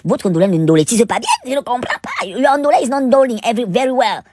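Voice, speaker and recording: Cameroonian male cooking vlogger, rapid-fire and husky, theatrical storyteller, casual and full of interjections. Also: extremely high pitch, lively intonation, darkish tone, dry recording, studio quality. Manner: theatrical, exclamatory, playfully indignant, with repetitions and interjections. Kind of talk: casual, chatty storytelling voice-over.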